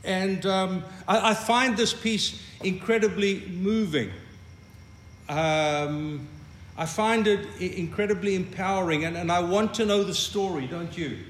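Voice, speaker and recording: A man's voice: high-pitched, unhurried, a little hiss or hum. An older man from South Africa with an Afrikaans accent gives a conversational, reflective sermon as pastor and often repeats words as he starts a thought.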